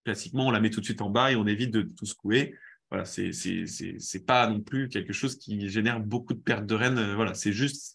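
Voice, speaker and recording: A man presents in a webinar with a head cold that leaves him sounding stuffy. His voice sits at an ordinary middle pitch, and he talks in a halting way, often restarting his sentences. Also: a bit bright. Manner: halting, many restarts and fillers. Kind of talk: explaining a method in a webinar.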